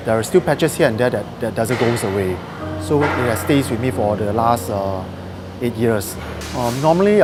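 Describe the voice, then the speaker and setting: A man's voice: medium pitch, noisy background. A man in his forties giving a matter-of-fact personal testimony. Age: 40s. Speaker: interviewee, IT professional. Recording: music over the voice. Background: background music.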